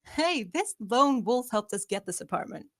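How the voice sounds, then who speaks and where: Casual, sardonic young woman played by an actress, higher voice. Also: sing-song, moderate pace. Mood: teasing. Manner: casual, dismissive, slangy. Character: sardonic young female lone-wolf hacker, casual and defiant. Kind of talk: live screenplay table read.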